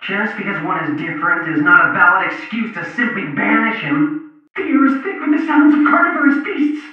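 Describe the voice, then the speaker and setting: Whiny pompous nerd from a video game, high voice, echoey like far off in a bunker. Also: male voice, animated, fairly quick, soft background music. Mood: indignant. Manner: pompous, stilted, verbose. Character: pompous, whiny young nerdy genius. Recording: echoing, muffled, distant bunker sound.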